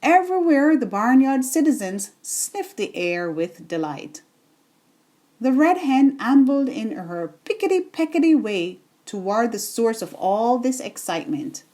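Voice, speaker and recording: A woman reading a children's bedtime story in an expressive, deliberate storytelling manner. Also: fairly high pitch.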